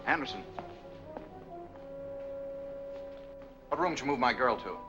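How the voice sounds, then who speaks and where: A man's voice: medium pitch, expressive, racing, highs cut off, over loud music, close to an everyday voice. A man acting in a 1940s film as a hot-tempered, insistent young man, urgent and accusing in a tough way. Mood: suspicious.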